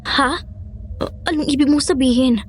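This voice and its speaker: A woman's voice: high-pitched, moderate pace, a little hiss or hum, lightly acted. A woman acting an anxious, questioning cook in a Tagalog horror audio drama. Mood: frightened.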